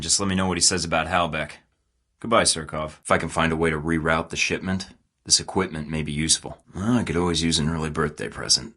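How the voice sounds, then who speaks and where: A cocky young secret agent with a very low, deep voice, speaking in dry, clipped lines full of sarcasm. He sounds unbothered and sure of himself, as in a story-driven spy game. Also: a bit bright. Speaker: game character.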